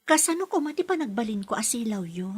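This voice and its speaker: A woman's voice: fairly high pitch, big pitch swings, quick pace, slightly rough. A woman playing a modest, devoted nanny being courted in an Ilocano radio drama. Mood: hesitant.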